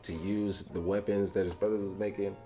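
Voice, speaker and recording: A man's voice: on the low side, level tone, fairly quick, warm tone. A man co-hosting a reaction channel, chatting casually in laid-back, heavy slang with swearing. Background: background music.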